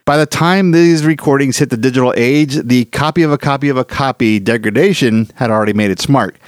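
A man's voice: medium pitch, brisk, no room sound, clean studio sound. A man hosting a podcast, giving an enthusiastic, conversational scripted introduction. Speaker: podcast host.

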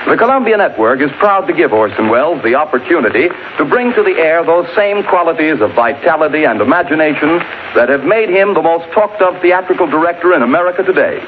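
A man's voice: on the high side, animated, fairly quick. A male 1930s radio network announcer reading a script in a formal, grand manner on an old broadcast recording. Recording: noisy, narrow-band 1930s radio broadcast.

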